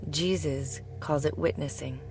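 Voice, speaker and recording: Low-key woman guiding meditation, flat and creaky. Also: over music. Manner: soothing, deliberate, measured phrasing. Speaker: yoga nidra teacher. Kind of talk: guided meditation narration.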